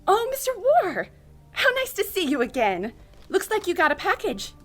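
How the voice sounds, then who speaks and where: A woman's voice: extremely high pitch, wildly swooping pitch, normal speed, a bit bright, faint music behind, full character voice. A woman playing a gushing, petty suburban neighbor in a comedic audio drama, melodramatic and theatrical in manner. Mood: swooning excitement.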